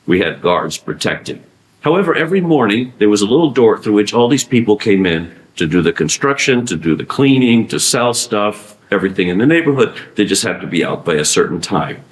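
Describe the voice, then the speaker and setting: A man giving a conference talk, animated, asking rhetorical questions and answering them himself. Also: on the low side, brisk. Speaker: conference speaker. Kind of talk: conference presentation. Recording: echoey room.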